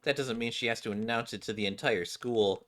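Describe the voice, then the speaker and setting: A man's voice: mid-range voice, fairly quick, a bit bright, lightly acted. Man voicing a nosy, gossiping schoolgirl. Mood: irritated, gossipy. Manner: gossiping, casual.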